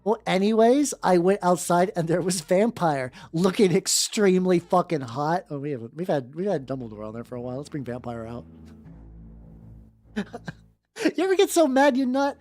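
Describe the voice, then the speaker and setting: A woman's voice: fairly low pitch, sing-song, moderate pace, darkish tone. A woman VTuber streamer doing a comic fanfiction reading with playful, irreverent, chatty asides. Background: background music.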